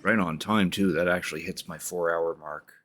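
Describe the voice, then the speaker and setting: Deep-voiced man streaming a game. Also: fairly even intonation, moderate pace, fairly bright tone, a little hiss or hum. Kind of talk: casual livestream game commentary. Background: game music.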